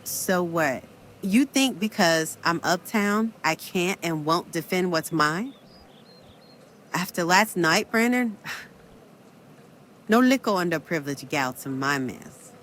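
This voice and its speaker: Sassy uptown girlfriend, played by a woman, slow deliberate delivery, faint background noise. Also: lowish voice, expressive, fairly bright tone. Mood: defiant. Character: proud uptown woman defending her man. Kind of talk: comedy skit acting.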